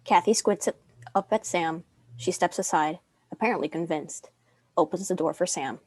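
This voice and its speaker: A woman's voice: on the low side, moderate pace, breathy edge, dry recording. A young woman reading stage directions as the narrator of a dramatic script. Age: young adult.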